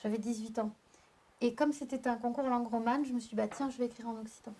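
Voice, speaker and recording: Soft-toned French woman poet, hesitant. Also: fairly high pitch, fairly even intonation, slight background noise. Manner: thoughtful, halting, with restarts. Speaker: poet and panel guest. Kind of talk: round-table discussion. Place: live public venue.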